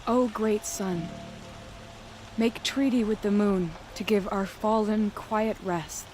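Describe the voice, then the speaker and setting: An actress playing a proud, determined young woman hunter and leader in a video game, speaking in measured, formal phrases. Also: mid-range voice, a little mellow, a little hiss or hum, close to an everyday voice. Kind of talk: dramatic video game acting.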